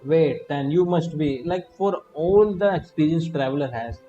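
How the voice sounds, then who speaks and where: A man's voice: on the high side, expressive, normal speed, dark tone, highs cut off. Casual man streaming over game music. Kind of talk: casual live reaction commentary.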